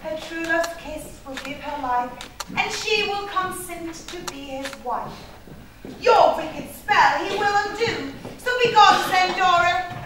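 Woman playing very high-pitched plucky good fairy. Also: unhurried, background noise. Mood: commanding. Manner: declaiming in rhymed couplets. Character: plucky good fairy, rhyming, defiant and prim. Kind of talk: stage pantomime acting. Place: theatre stage, recorded from afar. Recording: very echoey theatre, distant, music behind.

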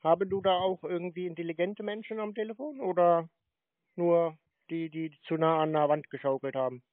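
Man, mocking deadpan prank caller, slightly high voice, tinny phone line, dry. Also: brisk, dry recording. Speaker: channel host trolling a scam caller. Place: on a phone call.

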